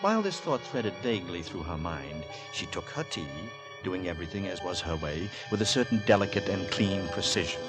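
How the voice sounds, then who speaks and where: A man narrating in 1940s radio drama style, literary, deliberate and suspenseful. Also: sits low, big pitch swings. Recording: vintage 1940s radio with music.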